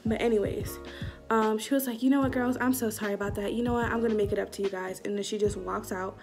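A young woman telling a story to camera in a casual vlog, animated and slangy, acting out what people said, recorded in a small room on a camera at arm's length. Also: medium pitch, quick pace, over music. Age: young adult. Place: small indoor room before a curtain. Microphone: webcam or phone at arm's length.

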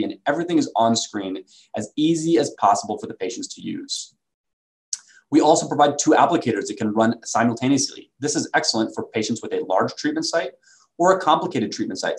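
A man with a fairly low voice presents on behalf of a medical device company. He talks through slides in a sales presentation style, speaking from an indoor video call setup. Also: low-quality recording.

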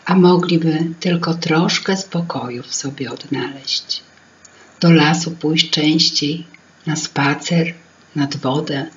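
A woman's voice: low voice, takes it slowly, lo-fi sound, slight background noise, pitched below the performer's own voice. A woman voicing a proud, bustling female fairy-tale sprite who keeps people's memories. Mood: wistful. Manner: self-important, bustling, musing.

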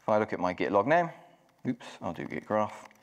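A man with a fairly low voice walks an audience through a technical talk on stage. He speaks casually, with frequent ums and self-corrections. His voice carries the long reverb of a large hall. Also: very animated, fairly quick, muffled recording. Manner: casual, many ums and restarts. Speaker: conference speaker. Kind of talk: live technical walkthrough.

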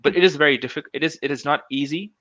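Man in his thirties, interview guest over headset; forceful bright voice, halting and thoughtful, dry lo-fi call sound. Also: a little high, no room sound. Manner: thoughtful, many restarts and fillers. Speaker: guest researcher. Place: small room at home.

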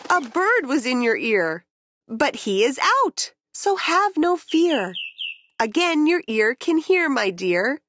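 A woman narrating a rhyming children's picture book in an expressive, deliberate storytelling way. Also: sits high, a little hiss or hum.

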